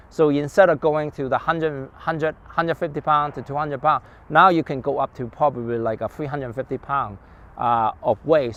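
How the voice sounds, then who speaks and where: A man presenting a product informally outdoors, explaining in a halting, repetitive way with urban traffic around. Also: a little high. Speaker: bike shop presenter.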